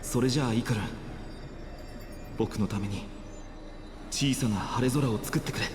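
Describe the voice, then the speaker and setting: An actor playing an earnest, gentle young hero in a dramatic video game, speaking with sincerity. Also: male voice, medium pitch, fast, noisy background, close to an everyday voice. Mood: tender. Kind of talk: dramatic Japanese game voice acting. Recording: echoey game audio under loud music. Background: loud game music.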